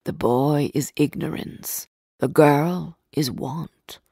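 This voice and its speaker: A woman voicing a solemn, stern spirit giving warnings, slow and hushed, in a storybook reading. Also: low-pitched, gravelly, slightly rough, dry recording, clean studio sound, voice pushed down, exaggerated voice. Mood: grave warning.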